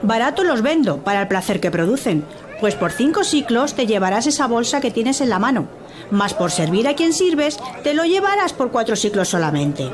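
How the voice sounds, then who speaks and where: Woman acting a lively, high-voiced market vendor. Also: moderate pace. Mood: coaxing. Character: haggling market vendor woman selling dates, flattering, wily. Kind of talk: radio drama acting. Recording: busy crowd behind the voice.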